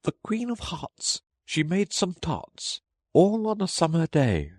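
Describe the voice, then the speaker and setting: Man putting on a high-pitched, fussy rabbit herald. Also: big pitch swings, takes it slowly, no room sound, studio quality, heavily acted. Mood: proclaiming. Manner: crying out proclamations.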